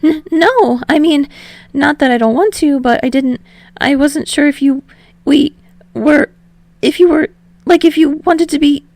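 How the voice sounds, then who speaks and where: Casting: female reader as excitable lovestruck young man for fan fiction audiobook, raised pitch, rambling and eager. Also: unhurried, no room sound. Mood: flustered, rambling. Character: energetic, flustered, eager young man in love.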